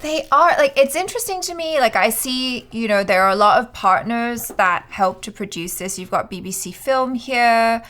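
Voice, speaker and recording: A woman's voice: on the high side, expressive, normal speed, few pauses, a bit bright. A young adult woman co-hosting a film reaction show, chatting casually and animatedly with little quoted asides. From half Thai. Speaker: film trailer reaction co-host. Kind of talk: casual chat.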